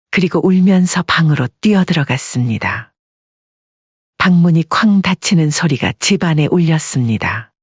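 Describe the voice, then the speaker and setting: A woman's voice: deep voice, slightly rough, no echo. A woman narrating a scripted dramatic audiobook story. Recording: dull lo-fi audio.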